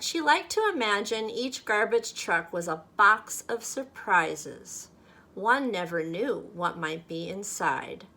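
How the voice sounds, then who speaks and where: A woman from a church talking to children and reading a storybook aloud in a deliberate, expressive way. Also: medium pitch. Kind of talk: children's read-aloud and friendly talk to kids.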